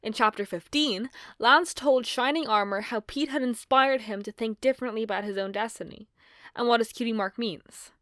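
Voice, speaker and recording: A woman's voice: fairly high pitch, no room sound, studio quality. A woman co-hosting a video essay and reading from a prepared script.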